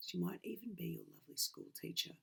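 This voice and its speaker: Dramatic, gravelly woman reading aloud. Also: on the low side, quick pace. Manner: dramatic, swinging from loud to hushed. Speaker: reader. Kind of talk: reading a children's book aloud. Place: small bedroom indoors. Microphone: webcam.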